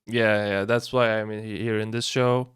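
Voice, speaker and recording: Guy from turkey cohosting a podcast, lowish voice, jokey, stumbles a bit, dry close sound. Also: little pitch movement, normal speed, a bit bright, smooth, no echo. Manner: playful and joking, with some stumbles. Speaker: podcast co-host. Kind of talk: casual podcast chat.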